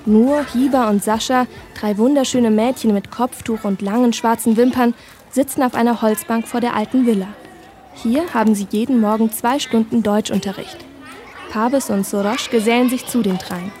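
A woman narrating a radio documentary feature in a calm, descriptive reading style. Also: fairly high pitch, normal speed, a faint crowd.

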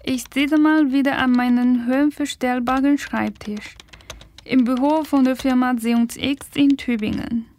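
A young woman from China, reading a scripted first-person report in careful, measured German. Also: high-pitched, fairly even intonation, darkish tone. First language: Chinese. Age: young adult. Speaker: student reporter.

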